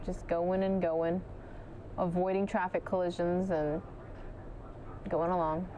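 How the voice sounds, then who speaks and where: A woman news anchor giving live commentary on a police chase, chatting directly with viewers, her voice starting to go hoarse. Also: fairly low pitch, flat delivery, slow pace, dark tone, a little hiss or hum, low-quality recording. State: losing her voice.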